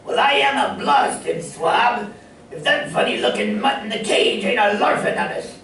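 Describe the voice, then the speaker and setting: An actor playing a gruff, good-hearted cartoon sailor with folksy, ungrammatical talk, in old radio comedy style. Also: male voice, sits high, animated, normal speed, a bit bright, heavily acted. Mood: amazed. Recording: roomy stage recording, far mic, faint noise.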